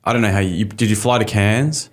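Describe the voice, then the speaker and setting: A man with an Australian accent hosting a casual interview podcast, easygoing and quick with affirmations. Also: fairly low pitch. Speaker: podcast host.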